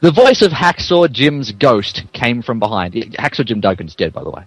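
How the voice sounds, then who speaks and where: A man's voice: medium pitch, very animated, moderate pace, breathy edge, dry recording, poor audio. Male podcast narrator, dry close sound. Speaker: podcast host and story narrator. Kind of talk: casual comic chat and mock-dramatic reading.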